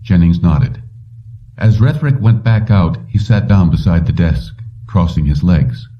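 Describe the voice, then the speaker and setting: A man's voice: sits low, a little slow. A man narrating fiction from a script as an audiobook reader. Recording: slightly muffled, distant from microphone.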